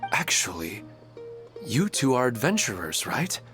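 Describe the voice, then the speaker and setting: A man's voice: mid-range voice, sing-song, unhurried, fairly bright tone. A man playing a weary, grieving widowed father in a video game, speaking politely and hesitantly. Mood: wistful, hesitant. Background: background music.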